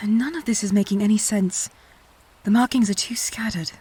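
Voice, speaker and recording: Woman acting a breathy, serious investigator. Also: medium pitch, a little mellow. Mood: puzzled, pondering. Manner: measured, insistent, sighing. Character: serious, probing woman investigator guarding old secrets. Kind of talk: audio drama roleplay acting.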